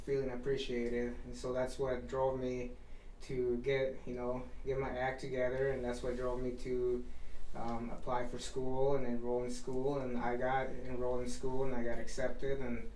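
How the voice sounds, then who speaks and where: A young man from Guatemala talks loosely about himself, full of fillers and restarts. He sits back from the mic in a roomy space, and his delivery stays fairly flat. Faint ambience hums underneath. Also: medium pitch, normal speed, recorded from a distance, slight background noise. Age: 20s. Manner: relaxed, rambling, many fillers and restarts. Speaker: chef, podcast guest. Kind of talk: casual interview talk.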